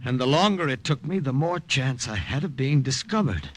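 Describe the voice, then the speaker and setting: A man's voice: medium pitch, very animated, normal speed, no room sound. A man playing an earnest, desperate wartime partisan agent in an old radio drama. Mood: tense, grave. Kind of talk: 1940s-50s radio drama acting and narration. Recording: hissy old radio recording.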